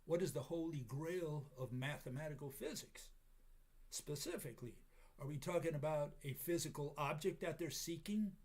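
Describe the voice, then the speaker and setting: A man with a raspy, hoarse voice from a cold, giving a casual lecture with many pauses and fillers. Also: fairly high pitch, a little mellow. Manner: halting, many fillers, chuckles at himself.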